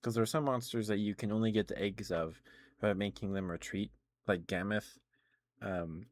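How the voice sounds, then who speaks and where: Male streamer, lowish voice, casual ASMR rambling, hesitant, self-correcting. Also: fairly even intonation, normal speed, dry recording. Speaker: live streamer. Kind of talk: casual rambling ASMR chat about video games.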